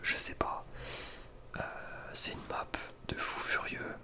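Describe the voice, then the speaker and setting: A man delivers ASMR ranking commentary entirely in a whisper. He is close to the microphone and speaks informally, with plenty of slang and hesitant asides. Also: slow pace. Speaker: ASMR content creator.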